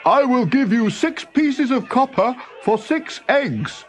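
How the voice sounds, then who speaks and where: A man voicing a simple, excitable townsman in a children's story, speaking plainly and emphatically. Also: extremely high pitch, slow pace, soft background music, voice pushed up, full character voice. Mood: eager. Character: simple, excitable townsman, easily swayed, grumbles later. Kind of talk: storybook character voice.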